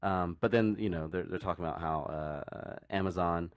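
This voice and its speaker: A man co-hosting a podcast, talking casually and explaining things in a rambling, halting way. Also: sits low, dry recording, muffled recording. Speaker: podcast co-host. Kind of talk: casual discussion.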